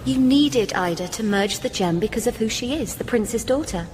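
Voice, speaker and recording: A woman's voice: fairly high pitch, expressive, moderate pace, darkish tone, slight background noise, lightly acted. A woman playing an impulsive, paranoid young heroine, insistent and accusatory, in a comic radio drama. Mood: accusing, frantic. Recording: hall reverb with music behind.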